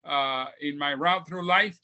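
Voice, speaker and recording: Man in his 70s from puerto rico, bright sharp voice, reflective and heartfelt. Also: a little high, smooth. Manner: heartfelt, reflective, speaks slowly. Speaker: guest. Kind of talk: reflective storytelling interview answers.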